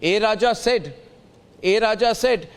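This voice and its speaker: A man's voice: high-pitched, a little slow, clear, resonant tone. A man with an Indian accent hosting a heated TV news debate, speaking combatively and insistently, repeating himself and hammering rhetorical questions. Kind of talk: heated political debate and commentary.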